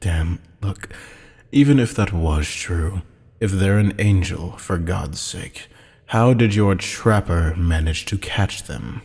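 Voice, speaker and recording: A haughty, sardonic demon, acted out by a man for an audio roleplay, sounding amused and teasing. The voice is very deep, dark and slow, with a husky drawl. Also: heavily acted. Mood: skeptical, warning. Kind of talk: scripted audio roleplay acting. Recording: long hall-like reverb.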